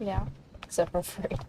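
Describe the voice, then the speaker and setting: A woman's voice: mid-range voice, lively intonation, slow pace, dark tone. A woman hosting a casual game playthrough, chatting in an easygoing, giggly way. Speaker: gaming video host. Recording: game music behind.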